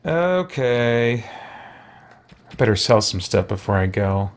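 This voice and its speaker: A man's voice: mid-range voice, big pitch swings, takes it slowly. A man giving casual gameplay commentary, thinking aloud and muttering to himself with mild exclamations. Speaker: host of a role-play gaming playthrough.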